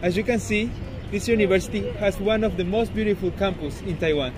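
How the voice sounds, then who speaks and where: A man from Mexico, an international student, giving an enthusiastic, slightly halting promotional narration about his university. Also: high-pitched, crowd noise.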